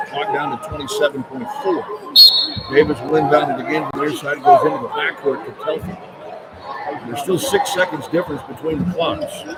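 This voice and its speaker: A man calling live basketball play-by-play as a radio announcer, courtside in an arena. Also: on the high side. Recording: loud arena crowd noise. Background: roaring crowd and arena noise.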